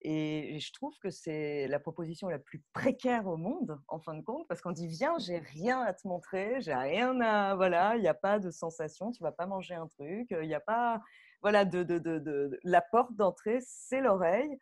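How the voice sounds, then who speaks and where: A woman audio fiction director explaining her craft off the cuff in a live online talk, halting and animated as she thinks aloud. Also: fairly low pitch. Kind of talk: spontaneous spoken explanation at a live online masterclass. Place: bedroom, on a video call.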